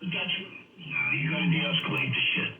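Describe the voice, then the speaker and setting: A man's voice: normal speed, loud music behind the voice. A man arguing casually over ham radio, swearing, emphatic and repeating his points. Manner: profane, emphatic, repetitive. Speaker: amateur radio operator in a group chat. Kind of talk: casual argumentative chat. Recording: narrowband, echoey ham radio transmission, loud interference.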